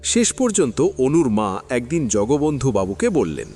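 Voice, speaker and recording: A man narrating a classic story in an audio drama, with expressive, dramatic storytelling. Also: medium pitch, normal speed, breathy edge, soft background music.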